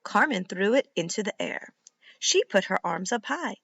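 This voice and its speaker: A woman reading a children's book aloud like a teacher, in an expressive, deliberate and instructive way. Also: mid-range voice, gravelly, no echo, highs cut off. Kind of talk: animated read-aloud narration for young children.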